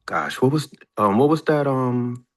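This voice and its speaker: A man's voice: mid-range voice, lively intonation, a little slow. A man in a casual question-and-answer chat as a filmmaker, speaking hesitantly and repeating words as he searches for details. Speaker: filmmaker and screenwriter.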